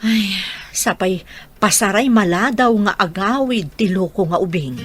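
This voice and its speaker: A woman's voice: medium pitch, measured pace, over music, lightly acted. A woman playing a concerned, questioning middle-aged aunt in a radio drama. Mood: concerned, probing.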